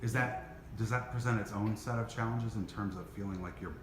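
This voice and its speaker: A man's voice: fairly low pitch, fairly quick. A man hosting a casual interview, chatty and encouraging, often backing up his guest. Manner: chatty, encouraging, frequent "mm-hmm". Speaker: interview host. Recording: echoey room with noise behind.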